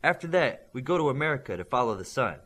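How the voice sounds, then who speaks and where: A man's voice: medium pitch, big pitch swings, quick pace, fairly bright tone. A man voicing an eager, upbeat teenage boy who leads his team in an audiobook. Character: teen boy, eager peacemaking team leader.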